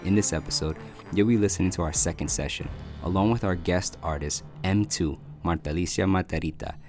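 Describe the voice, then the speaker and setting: A man hosting, reading a calm, measured scripted intro to the episode. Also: low voice. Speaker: host. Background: music.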